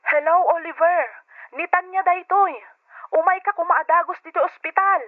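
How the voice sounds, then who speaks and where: Shrill, urgent caller on telephone. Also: female voice, fairly even intonation, normal speed, no echo. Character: urgent female friend phoning with bad news. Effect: telephone filter. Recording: tinny, dry telephone line.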